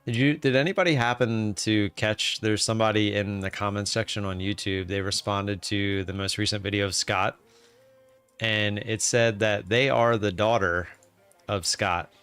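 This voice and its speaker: Smooth-voiced man in his thirties. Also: fairly low pitch, fairly even intonation, moderate pace, fairly bright tone, no echo, faint music behind. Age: 30s. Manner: rambling, with frequent "uh" fillers and restarts. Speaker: live-stream host. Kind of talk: casual live-stream chat, reading out viewer comments.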